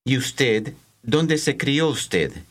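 A man reading scripted language-course dialogue, enunciating slowly and carefully for learners. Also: medium pitch, very animated, fairly bright tone.

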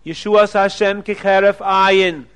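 A man voicing a calm, wise old rabbi who gently reassures a remorseful man. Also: high-pitched, a little slow, clear, resonant tone. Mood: reassuring.

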